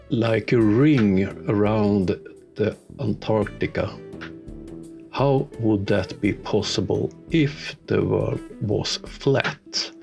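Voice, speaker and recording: A man's voice: lowish voice, gravelly. A man with a Swedish accent who speaks English as a second language, giving dry, sarcastic scripted commentary. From Sweden. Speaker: YouTuber debunking videos.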